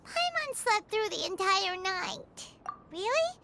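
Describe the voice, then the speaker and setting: Tiny childlike fairy, video game, squeaky, extremely high, slow-paced, cutesy, sweet, eager. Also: female voice, big pitch swings, heavy background noise, heavily acted. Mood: sheepish. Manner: refers to self in third person, cutesy.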